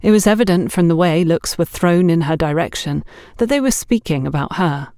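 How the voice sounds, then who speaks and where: A woman's voice: fairly low pitch, normal speed, mellow, no room sound, studio quality. A woman reading scripted mystery fiction as an audiobook narrator.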